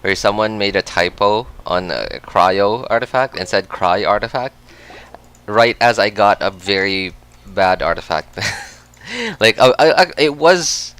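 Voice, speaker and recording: A man's voice: fairly low pitch, lively intonation, measured pace, fairly bright tone, clear, resonant tone. Male game streamer, from the Philippines, casual chat, laughs a lot, resonant tone. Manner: chatty, laughs often between remarks. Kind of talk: casual live-stream chat, reading and answering chat.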